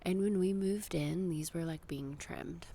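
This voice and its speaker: A woman, probably in her thirties, gives a casual, chatty ASMR tour in soft whispers and hushed talk outdoors. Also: lowish voice, a little slow. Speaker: ASMR vlogger. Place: outdoors in a residential backyard. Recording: faint wind and outdoor noise.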